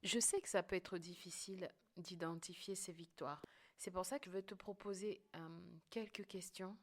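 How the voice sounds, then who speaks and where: A woman's voice: on the low side, steady tone, normal speed. A woman hosting a motivational coaching podcast, speaking emphatically and often checking in with the listener. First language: French-English bilingual.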